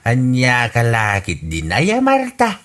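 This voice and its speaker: A man's voice: wildly swooping pitch, slow, a bit bright. A man with an Ilocano accent acting in a radio comedy drama, exasperated and sighing. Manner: exasperated and sighing, pitch leaping up. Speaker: voice actor in an audio comedy drama.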